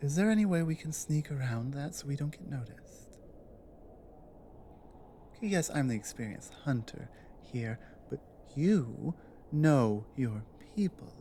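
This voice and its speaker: A woman acts out a loyal, guarded orc warrior woman in an intimate ASMR audio drama. Her speech is slow and deliberate, with halting, plain, earnest phrasing. A light wash of ambient noise sits behind the voice. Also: rumbling low, mellow. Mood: wary, practical.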